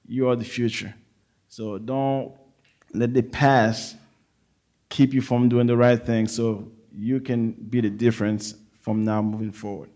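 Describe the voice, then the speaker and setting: A Haitian-accented man in his thirties talks to a forum audience in an easy, conversational way. His voice sits at an ordinary middle pitch, and he keeps checking in with a quick "right?". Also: flat delivery, mellow, slight background noise. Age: 30s. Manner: conversational, tags sentences with "right?". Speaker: active-duty Navy chief speaking from the audience. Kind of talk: casual public remarks at a forum.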